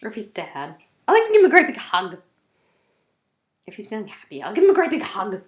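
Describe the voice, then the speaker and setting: A woman's voice: medium pitch, huge pitch swings, a little fast, voice pushed up. A woman voicing a loving, cuddly teddy bear in a storybook, tender and repetitive. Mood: affectionate.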